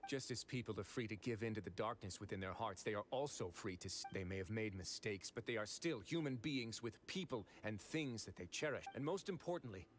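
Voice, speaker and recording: An actor playing a calm, commanding male fortress warden in a video game, measured and firm. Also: mid-range voice, a little hiss or hum, close to an everyday voice. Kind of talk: game voice acting.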